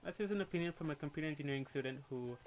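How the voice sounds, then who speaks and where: A man's voice: on the high side, slight background noise. A young man with a Puerto Rican accent, chatting and ranting on a livestream in a candid, opinionated way. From Puerto Rico. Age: young adult. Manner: candid, opinionated, quick to rant, with casual swearing. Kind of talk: casual livestream chat and rant.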